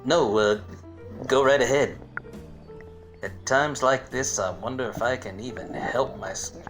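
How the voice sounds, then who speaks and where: An actor playing an obtuse, wisecracking private detective in a parody of 1940s radio plays, wry and world-weary. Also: male voice, mid-range voice, measured pace, over music, natural voice. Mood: incredulous, sardonic.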